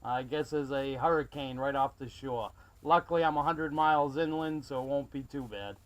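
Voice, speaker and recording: A man's voice: mid-range voice, normal speed. A man from South Carolina chatting casually as a CB radio operator, in a friendly manner full of call numbers and radio jargon. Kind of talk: casual CB radio skip chat. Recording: muffled, band-limited CB radio recording.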